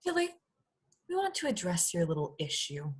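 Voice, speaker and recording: A woman's voice: lowish voice, huge pitch swings, moderate pace, slightly rough, full character voice. A woman playing a blunt, easily bored alien overseer in a comedy table read. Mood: condescending. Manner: blunt, dismissive.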